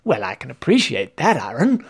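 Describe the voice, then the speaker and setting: A narrator voicing a prim, put-upon British gentleman with a formal, fussy, protesting manner. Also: mid-range voice, wildly swooping pitch, moderate pace, slightly rough, heavily acted.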